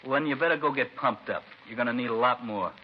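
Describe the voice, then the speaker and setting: A defiant teenage boy hoodlum acted by a man in an old radio crime drama. He rattles off flippant, sarcastic answers at a brisk clip, with a middling pitch. Faint noise sits on the vintage recording. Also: highs cut off, natural voice. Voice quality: insolent, flippant delivery.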